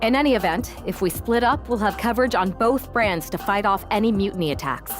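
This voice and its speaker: A woman's voice: mid-range voice, brisk, soft background music, natural voice. An actress playing a composed, determined female pro wrestler laying out strategy in a video game cutscene. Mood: composed, resolute.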